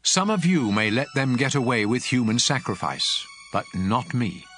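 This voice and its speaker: A man's voice: mid-range voice, very animated, normal speed, a bit bright. A man playing God as a stern lawgiver, solemn and commanding in a dramatized scripture reading. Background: music.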